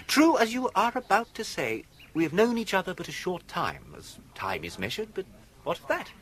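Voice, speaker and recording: An actor playing an urbane, unflappable young English gentleman in a radio comedy, speaking in a florid, mock-formal manner. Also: sits high, wildly swooping pitch, moderate pace, slight background noise, heavily acted. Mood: ardent, florid.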